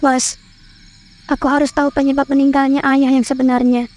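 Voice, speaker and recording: A woman's voice: high voice, flat delivery, moderate pace, a little mellow, natural voice. A woman playing a stubborn, suspicious young bride-to-be in a horror cartoon, with an Indonesian accent. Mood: determined.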